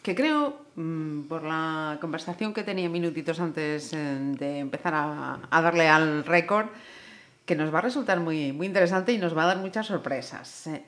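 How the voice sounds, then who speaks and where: A woman hosting a radio interview in a friendly way, with frequent "eh" fillers and soft "ujú" backchannels. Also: deep voice. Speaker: radio host.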